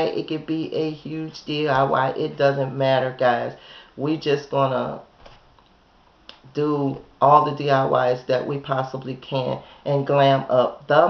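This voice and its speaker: A woman hosting a home decorating channel, chatting casually to viewers in a hoarse voice, often checking in with "okay?". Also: low voice. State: hoarse from a chest cold. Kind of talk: casual vlog chat. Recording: echoey room, dull lo-fi sound.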